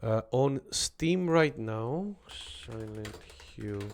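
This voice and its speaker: A young man from Greece talks through a horror game on stream, chatting with viewers and reacting to what he finds. His pitch sits in the middle range, and a faint ambience hangs behind him. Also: lively intonation, very slow, vocal fry, darkish tone, a little hiss or hum. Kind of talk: casual gameplay commentary.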